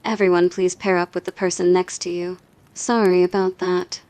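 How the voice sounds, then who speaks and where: A woman acts out a weary female teacher in an audio roleplay. She sounds a little flustered as she addresses a class, in a lowish voice. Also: level tone, moderate pace, darkish tone, smooth, close to an everyday voice.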